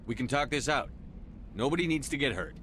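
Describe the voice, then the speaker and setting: An actor playing a composed, pragmatic military commander in a video game. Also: male voice, medium pitch, lively intonation, fairly quick, fairly bright tone, a little hiss or hum, close to an everyday voice. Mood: measured, persuasive.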